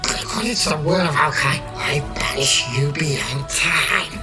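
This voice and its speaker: Fantasy audio drama immortal, female actor: low, stern, formal, grandly expressive, scored with music. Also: unhurried. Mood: solemn judgment. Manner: formal, pronouncing, theatrical. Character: solemn timeless celestial being, all-seeing and sternly righteous.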